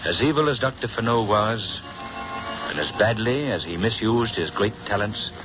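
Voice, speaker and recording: A man playing a calm gentleman hero in a 1930s radio drama, speaking in a measured, reassuring way. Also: on the low side, over music, natural voice. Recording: noisy dull 1930s radio recording.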